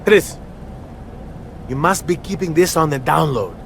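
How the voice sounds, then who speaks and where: A man's voice: a little high, lively intonation, measured pace, gravelly, a little hiss or hum. A man playing a goofy, naive, boastful comic wrestler with a childlike, eager manner in a video game cutscene. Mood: nervous pleading.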